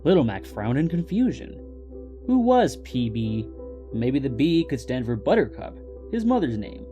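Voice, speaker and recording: Mid-pitched man narrating a story. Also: very animated, unhurried, warm tone, soft background music. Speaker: narrator and host of fanfic readings.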